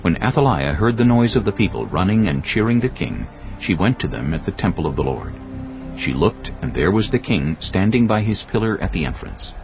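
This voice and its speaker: Deep solemn male scripture narrator. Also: animated, highs cut off, soft background music. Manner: measured, solemn reading.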